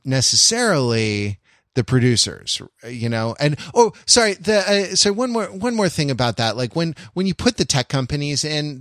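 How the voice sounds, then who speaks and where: A man's voice: a little high, a bit bright, dry recording, clean studio sound. A man co-hosting a culture podcast, talking casually, rambling with many fillers, restarts and jokey asides. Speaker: podcast co-host. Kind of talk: casual conversational commentary.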